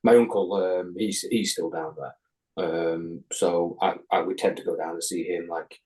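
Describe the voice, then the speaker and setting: Guest, English male, lowish register; rambling anecdote teller, heavy swearing, lots of restarts. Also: steady tone, unhurried, a little mellow, poor audio. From England. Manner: rambling, swears constantly, many ums and restarts. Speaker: podcast guest. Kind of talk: casual chat, telling an anecdote.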